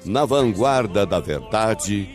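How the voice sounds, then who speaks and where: Deep, ceremonious radio announcer. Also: male voice, animated, slow, darkish tone, faint music behind. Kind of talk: formal program opening.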